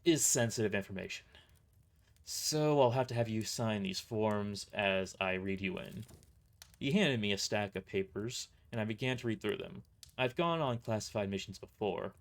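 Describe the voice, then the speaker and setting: Man narrating a story, often stumbling. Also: lowish voice. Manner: stumbles over words and rereads phrases. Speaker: narrator and voice performer. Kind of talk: first-person scripted story narration, read live.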